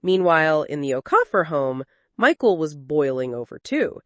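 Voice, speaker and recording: A woman's voice: low-pitched, very animated, moderate pace, a bit bright, no echo. A woman narrating a scripted dramatic story as a storyteller.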